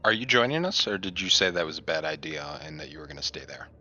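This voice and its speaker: A man's voice: extremely low pitch, fast, pushed and bright, lo-fi sound, faint music behind. A man chatting casually as a player in a tabletop roleplaying game.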